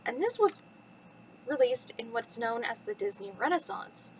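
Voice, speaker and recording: A woman's voice: on the high side, expressive, normal speed, a little hiss or hum, low-quality recording. A woman in her twenties speaking as an upbeat host reading bedtime stories to young children. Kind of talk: cheerful storytime talk and expressive story reading.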